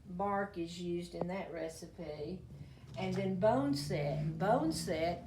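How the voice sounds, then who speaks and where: An older woman with a low, slightly hoarse voice explains remedies in a folksy, rambling way, stopping and restarting often. She is recorded indoors at a museum's old doctor's office in an echoey room. The mic sits some way off from her. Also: slow, distant mic, background noise. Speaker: herbal medicine demonstrator. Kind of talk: casual show-and-tell explanation.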